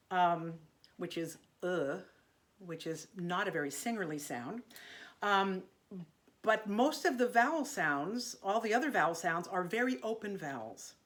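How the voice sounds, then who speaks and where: A woman teaching an online singing lesson in a gentle, chatty way, her voice a little congested from allergies. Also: medium pitch. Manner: gentle, chatty, demonstrating vocal sounds.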